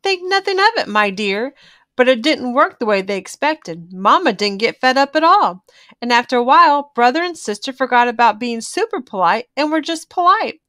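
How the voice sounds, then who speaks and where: A woman reading a children's storybook aloud in an expressive, emphatic way, drawing out key words. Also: fairly high pitch, normal speed. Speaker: children's book reader.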